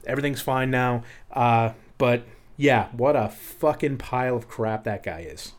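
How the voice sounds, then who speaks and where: A man's voice: mid-range voice, measured pace. Sarcastic, foul-mouthed man chatting. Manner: sarcastic, profane ranting. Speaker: podcast co-host. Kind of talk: casual chat.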